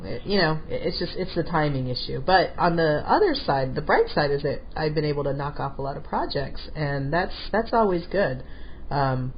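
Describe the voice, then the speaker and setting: Low-voiced middle-aged woman with a head cold. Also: very animated, normal speed. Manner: chatty, repeats words as she talks. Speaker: podcast host. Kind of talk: casual conversational chat. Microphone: call over phone or Skype. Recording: dull slightly lo-fi audio with faint noise.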